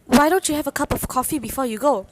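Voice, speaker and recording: A woman's voice: high-pitched, lightly acted. Woman playing an eerily polite, obsessive male maniac. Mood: eerily polite, insistent. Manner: insistent, deliberate, menacing politeness. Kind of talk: amateur radio drama acting.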